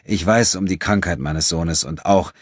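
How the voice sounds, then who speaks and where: Earnest troubled father acted by a male reader, husky, restrained, dry sound, audiobook character. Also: low voice, moderate pace, no echo. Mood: placating.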